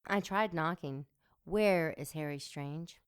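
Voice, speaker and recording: Sultry succubus demon in an audio drama, lowish voice, sardonic, ruthless, takes her time teasing. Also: background noise. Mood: cool menace. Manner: sultry, mocking, deliberate.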